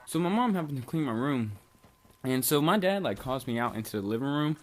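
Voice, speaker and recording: A man's voice: mid-range voice, animated, fairly quick. A young man from Bosnia casually telling a rambling, profane story, full of "like" and swearing. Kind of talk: casual storytime over gameplay.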